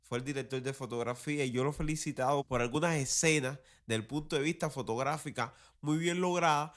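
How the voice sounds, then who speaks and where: A man with a mid-pitched voice hosts a commentary show. He talks colloquially and critically, like a teacher sharing opinions. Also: a little fast, a bit bright. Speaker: podcast host and teacher.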